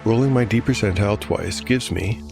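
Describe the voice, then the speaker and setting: Male narrator, game master, low pitch, measured storytelling, scripted fantasy narration, faint music behind. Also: gravelly.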